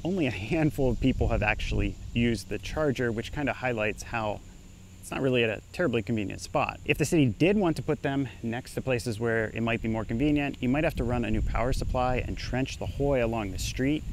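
A man's voice: mid-range voice, brisk, a little hiss or hum, low-quality recording. Young man explaining outdoors on a street. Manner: casual, conversational, gestures while explaining. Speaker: engineer and startup founder. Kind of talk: explainer vlog to camera.

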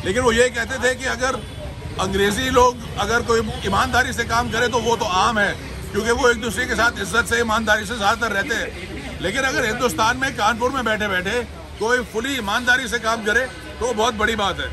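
A man's voice: extremely high pitch, steady tone, brisk. A middle-aged man hosting a food vlog, chatting and joking as he describes the food on an outdoor market street. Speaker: food show host. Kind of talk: casual food vlog chat. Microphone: phone camera at close range. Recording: outdoor street crowd behind.

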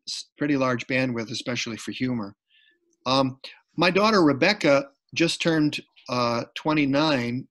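A poet telling stories about his path to poetry in a lively, chatty way, heard over a video call. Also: medium pitch, poor audio. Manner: animated, chatty, laughs while telling stories. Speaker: poet, featured guest. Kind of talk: conversational storytelling on a video call.

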